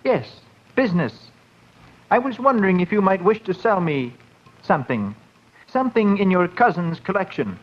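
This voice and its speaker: An actor in a 1940s radio horror drama playing a strangely eager little fat collector, speaking in an insinuating way. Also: male voice, high voice, sing-song, moderate pace. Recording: muffled 1940s radio recording.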